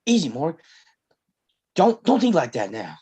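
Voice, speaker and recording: A woman playing an anguished, desperate gunslinger man in a western table read, with a raspy voice and explosive, pleading outbursts. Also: low-pitched, sing-song, a little slow, dark tone, heavily acted. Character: desperate, anguished gunslinger man watching his brother die.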